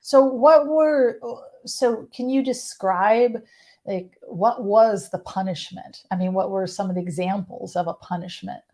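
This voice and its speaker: A woman's voice: medium pitch, unhurried, a little mellow. A woman interviewing a guest, often restarting and rephrasing her questions. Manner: rephrases questions, starts over with "so".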